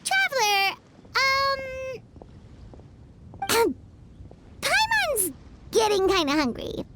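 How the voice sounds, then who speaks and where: A woman's voice: very high-pitched, wildly swooping pitch, extremely slow, very noisy, heavily acted. A woman voicing a tiny, cheerful, childlike fairy companion with a chirpy manner in a video game. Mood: cheerful, hungry. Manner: chirpy, speaks of self in third person. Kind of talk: video game cutscene acting. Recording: loud music behind voice.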